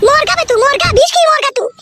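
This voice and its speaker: Casting: shrill young cartoon wife, extremely high, rapid and scolding, furious temper. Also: female voice, background noise. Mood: shrill fury. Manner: scolding, cursing. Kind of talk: comedy cartoon dubbing.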